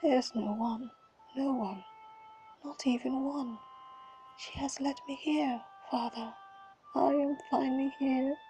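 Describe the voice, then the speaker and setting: A woman's voice: sits high, steady tone, takes it slowly, long pauses, mellow, soft background music. A woman voicing a grief-stricken young son, pleading in whispers and anguished cries. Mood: haunted.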